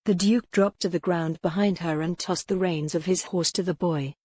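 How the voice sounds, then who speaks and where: A woman's voice: on the low side, steady tone, moderate pace, warm tone, slightly rough. A woman reading scripted dramatic fiction as an audiobook narrator.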